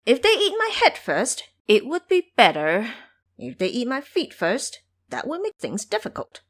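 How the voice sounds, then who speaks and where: Cocky, teasing male monkey monk played by a woman, storybook adventure, lifted pitch, lively swoops. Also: measured pace, exaggerated voice. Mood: cheeky. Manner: boastful, teasing. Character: brash, cocky male monkey-monk trickster, fearless and playful. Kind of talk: audio drama storytelling.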